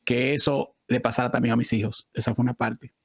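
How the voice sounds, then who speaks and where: A man's voice: mid-range voice, little pitch movement, a little fast, slightly rough, no room sound. A man of about forty with a Dominican Spanish accent, speaking conversationally as an interview guest. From Dominican Republic. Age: around 40. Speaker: podcast guest, real estate investor.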